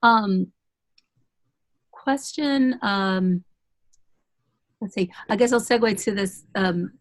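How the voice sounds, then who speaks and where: A woman's voice: mid-range voice, slow, dry recording. A woman hosting a casual webinar interview, asking questions hesitantly with many fillers and a playful turn. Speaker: host.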